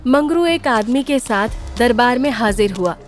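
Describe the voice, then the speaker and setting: A woman's voice: fairly high pitch, animated, moderate pace, faint music behind. A woman reading scripted storytelling narration for a cartoon tale.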